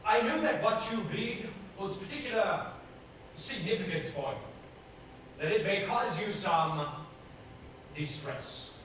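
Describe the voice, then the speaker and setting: A man's voice: sits high, a bit bright. A man on a theater stage playing an imperious, exacting acting coach who declaims pompously with grand flair. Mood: grandly instructing. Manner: pompous, declamatory, deliberate diction. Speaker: stage actor in an amateur two-man play. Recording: very echoey theater, distant mic, noisy.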